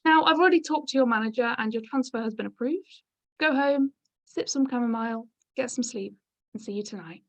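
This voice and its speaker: A woman playing an abrupt yet jaunty hotel manager in her fifties, brisk and breezily dismissive, in a script reading. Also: on the high side, lightly acted. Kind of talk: script table-read acting.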